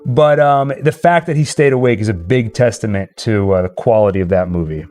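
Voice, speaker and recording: A man's voice: lowish voice, a little fast, a little mellow. A man co-hosting a livestream, chatting casually as he plays a game. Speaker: livestream co-host. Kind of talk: casual chat while playing a game.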